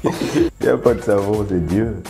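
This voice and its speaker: A male singer with a Beninese French accent being interviewed, relaxed and easygoing, now and then slipping into English. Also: lowish voice, unhurried, vocal fry, over music. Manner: relaxed, laughs easily, mixes in English. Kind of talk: casual interview.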